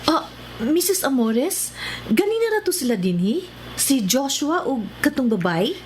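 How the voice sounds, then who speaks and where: Gossipy visayan parlor customer lady, high voice, lively, radio drama. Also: unhurried. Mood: curious. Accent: Filipino, Visayan. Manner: curious, gossipy questioning. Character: chatty, inquisitive woman customer at a beauty parlor. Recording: noisy radio drama recording.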